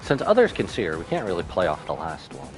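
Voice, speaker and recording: A woman with a very low, animated voice gives casual livestream reactions over game music. She comes across as wry, playful and teasing. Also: fast. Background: video game music.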